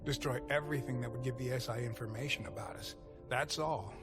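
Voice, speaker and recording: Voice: medium pitch, fairly quick, close to an everyday voice. An actor playing a curt, matter-of-fact vampire salon worker in a video game. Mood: businesslike, uneasy. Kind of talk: video game drama acting. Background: game music.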